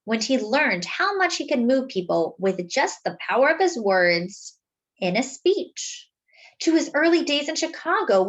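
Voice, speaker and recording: Female storyteller for children's read-aloud: higher-pitched, very animated intonation, deliberate dramatic phrasing. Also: low-quality recording. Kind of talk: expressive picture book read-aloud.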